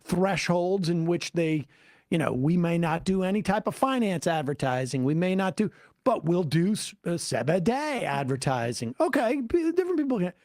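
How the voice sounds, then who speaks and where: A commentary host who keeps pausing a played clip to make sarcastic points. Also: mid-range voice, very animated, darkish tone, no echo, studio quality. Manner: interrupts to pause the clip, sarcastic.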